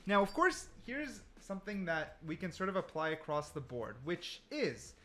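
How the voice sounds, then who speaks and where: A man's voice: sits high, big pitch swings, normal speed, fairly bright tone, a little hiss or hum. A man giving casual, slangy gameplay commentary, thinking aloud as he goes. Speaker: gaming content creator.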